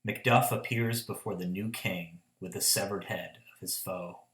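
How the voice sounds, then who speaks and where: Man, low pitch, scripted radio drama narrator, echoing room sound. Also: fairly even intonation, normal speed, fairly bright tone.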